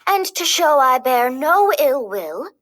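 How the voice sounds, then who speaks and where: Woman reading as a coolly wicked, deliberate storybook sorceress. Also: high voice, very animated, fairly bright tone, heavily acted. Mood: coolly menacing. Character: evil sorceress, coolly wicked, cursing a baby.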